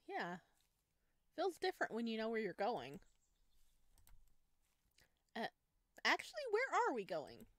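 A woman's voice: fairly high pitch, wildly swooping pitch, takes it slowly, long pauses, a bit bright, dry recording, heavily acted. A woman voicing a shy, awkward wanderer in a visual novel, with a hesitant, nervous manner. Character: shy, awkward, easily awed lost wanderer.

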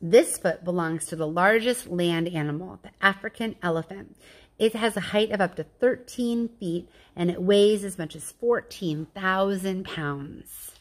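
A woman's voice: mid-range voice, a little slow. A woman reading a children's picture book aloud like a teacher, explaining things with informal asides and questions to her listeners.

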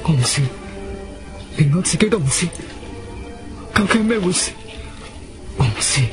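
An actor playing a frightened, despairing young man trapped and near panic, halting and repeating himself in a Cantonese radio drama. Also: sits high, animated, music in the background.

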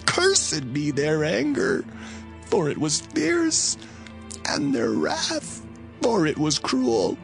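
An aged, dying patriarch in a scripture drama. The voice is gravelly and slow, swinging widely in pitch as he solemnly declaims like a father and prophet, with music underneath. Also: huge pitch swings, full character voice, rough recording. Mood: stern prophecy.

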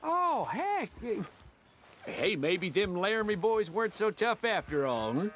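Lively, nervous young ranch hand. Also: male voice, high-pitched, a little slow, slight background noise, heavily acted. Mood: eager, sheepish. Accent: American. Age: young adult. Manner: hesitant, folksy. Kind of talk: video game western acting.

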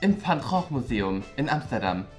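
A flustered, bossy male teacher played by a woman in a comedy sketch. The voice is low and swings about animatedly. He rambles impatiently over light background music. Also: sing-song, moderate pace, darkish tone. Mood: eager. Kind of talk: improvised-sounding comedy sketch acting.

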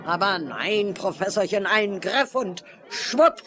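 Fussy, lively old grandmother. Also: mid-range voice, takes it slowly. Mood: playful fussing. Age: older. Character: fussy, coquettish older grandmother. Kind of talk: German radio horror drama acting. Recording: music and crowd effects behind.

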